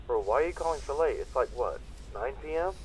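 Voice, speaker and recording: A man's voice: medium pitch, lively intonation, fast talker, a little hiss or hum, natural voice, low-quality recording. A man playing an ordinary guy taking a late call, talking casually in a horror video. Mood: casual, distracted.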